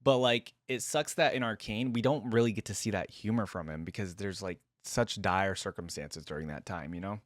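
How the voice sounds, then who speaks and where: A man's voice: fairly low pitch, moderate pace, hardly any pauses, no echo. A man hosting a reaction video, giving casual, informal commentary close to the microphone. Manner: chatty, informal.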